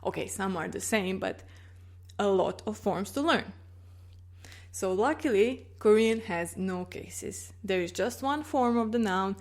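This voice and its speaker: A woman's voice: mid-range voice, unhurried. Woman, language teacher, patient explainer, checks understanding often. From Croatia. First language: Croatian. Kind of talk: explaining grammar in a video lesson.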